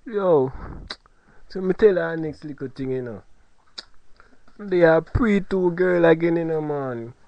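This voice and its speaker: High-voiced man in mock Jamaican patois. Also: unhurried, pauses often, no room sound. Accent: put-on Jamaican patois. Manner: mock-Jamaican swagger, teasing. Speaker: comedy podcast host. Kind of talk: comic banter and storytelling. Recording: lo-fi podcast audio.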